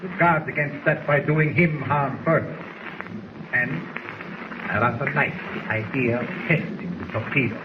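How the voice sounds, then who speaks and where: A man's voice: mid-range voice, steady tone, unhurried, natural voice. A man acts out a smug German spy commander in a 1940s radio serial. He is coldly courteous with menace underneath. The recording is tinny and buried in heavy noise. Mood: coolly gloating. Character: smug cold villainous foreign spy leader commanding a captured submarine. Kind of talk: 1940s radio drama acting.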